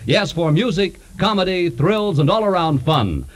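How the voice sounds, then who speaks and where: Male 1940s American radio announcer: fairly high, lively sweeping pitch, polished promo delivery. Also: normal speed.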